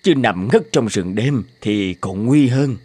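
Calm, kindly older father. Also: on the low side, slow pace, slightly rough, exaggerated voice. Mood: reassuring. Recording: loud music under the voice.

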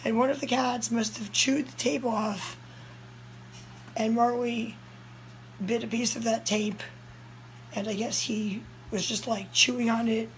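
A young woman with a hoarse voice, telling a story in a casual vlog, urgent and breathless, filmed close up on a phone in a small room indoors. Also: a little high, steady tone, a little slow, pauses often, a little hiss or hum, poor audio. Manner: breathless, urgent retelling of a scare. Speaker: cat vlogger. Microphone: phone camera held close, low angle.

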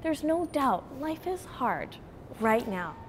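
A woman's voice: high voice, very animated, slow, a little hiss or hum. A woman in her forties, a grocery chain executive, speaking earnestly and animatedly in a TV news interview inside a store.

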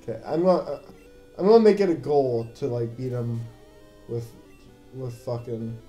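A man's voice: medium pitch, lively intonation, moderate pace, dark tone, soft background music. Young man, tipsy, joking, rambling game banter, echoey room. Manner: joking, rambling, laughs often. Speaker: game commentator. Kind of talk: drunk casual let's play banter.

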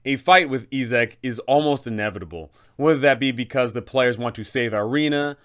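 A man in his thirties hosting a tabletop game guide, telling the story in an emphatic, deliberate way. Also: medium pitch, fairly bright tone, smooth. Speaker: host and game master giving advice.

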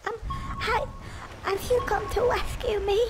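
A woman's voice: extremely high pitch, fairly even intonation, over loud music, poor audio. A woman voicing a sweet, hesitant little girl in a video game cutscene. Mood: innocent. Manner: slow, hesitant, babyish. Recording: game audio with background noise.

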